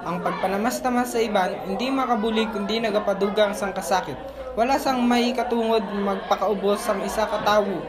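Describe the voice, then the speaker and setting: A woman's voice: mid-range voice, fairly even intonation, fairly quick, close to an everyday voice. A woman with a Filipino accent acting an earnest schoolteacher in a student radio drama. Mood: earnest, admonishing. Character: earnest, principled schoolteacher addressing a class. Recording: classroom crowd behind the voice.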